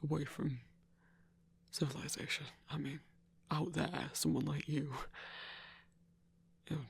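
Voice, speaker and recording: Shy, halting werewolf hunter played by a man, very husky. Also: fairly high pitch, flat delivery, exaggerated voice. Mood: earnest, flustered. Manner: stammering, halting, earnest. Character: shy, socially awkward male werewolf hunter, gentle and earnest. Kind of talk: intimate ASMR audio roleplay.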